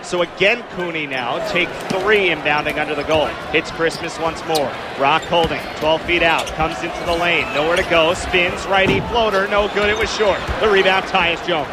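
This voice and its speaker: Young play-by-play man over loud venue noise. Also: fairly high pitch, fairly even intonation, moderate pace, few pauses. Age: young adult. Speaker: sports play-by-play announcer. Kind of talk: live sports commentary. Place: sports venue commentary position. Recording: very noisy live sports venue broadcast.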